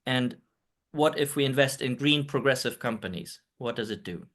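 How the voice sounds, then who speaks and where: A man's voice: medium pitch, animated, normal speed, a bit bright. German guy on a video call, earnest talk, lots of ums. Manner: hesitant, many ums, earnest. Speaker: presenter.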